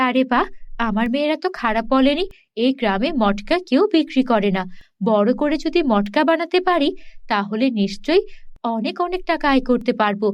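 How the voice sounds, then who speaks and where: A woman's voice: high voice, lively intonation, fairly quick, no echo, lo-fi sound. A woman playing a poor, proud village mother in a cartoon, firm and chiding but caring. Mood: determined.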